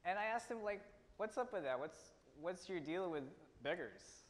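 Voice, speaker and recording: A teenage man from India with a slightly high voice tells his story earnestly on a stage. He pauses and restarts now and then. His voice carries a long hall reverb. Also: animated. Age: teens. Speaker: student speaker. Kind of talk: prepared personal talk. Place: auditorium stage.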